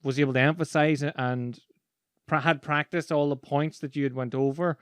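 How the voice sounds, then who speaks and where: Irish man with a smooth, dry voice. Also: fairly high pitch, moderate pace, no echo. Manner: animated storyteller, laughs while talking. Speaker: podcast host and speaking coach. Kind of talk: casual conversation.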